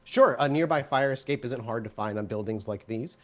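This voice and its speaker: A man with a medium-pitched voice narrates a tabletop roleplaying game as its storyteller. His delivery is animated and conversational, improvised as he guides the players. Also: fairly quick.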